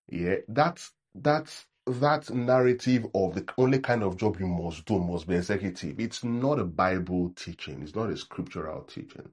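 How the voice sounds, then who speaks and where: Nigerian man preaching, deep husky voice, conversational sermon with check-ins. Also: darkish tone. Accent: Nigerian English. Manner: acts out sample prayers in a mocking tone. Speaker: pastor.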